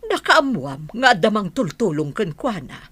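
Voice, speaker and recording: A woman acts out a fretful, gossipy older Ilocano mother in a radio drama. Her voice is husky and swings up and down a lot as she nags and interjects, recorded close and dry. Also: medium pitch, very animated, a bit bright, no room sound, heavily acted. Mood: nosy, surprised. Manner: nagging, exclamatory, quick to interject. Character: anxious, gossipy older village mother fretting over her daughter.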